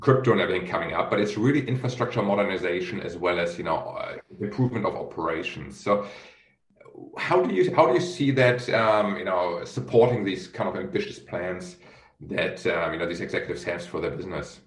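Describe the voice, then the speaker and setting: A man's voice: low voice, expressive, fairly quick. A man hosting a business interview, asking long questions with many fillers and restarts. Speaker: host, chief executive of a research firm. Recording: echoey room.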